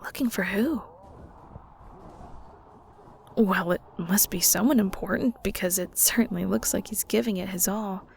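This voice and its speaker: A woman playing a shy, curious young ghost in an audio roleplay, hesitant, polite and chatty, with soft breathy edges. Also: mid-range voice, normal speed, a little hiss or hum, lightly acted. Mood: apologetic, curious. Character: shy, curious young female ghost, newly arrived in the underworld. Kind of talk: audio roleplay, intimate conversational acting.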